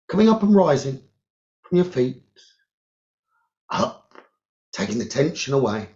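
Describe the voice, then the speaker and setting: A man leading a guided meditation as a teacher, giving gentle instructions in short phrases with long pauses. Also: medium pitch. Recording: roomy echo, dull lo-fi sound.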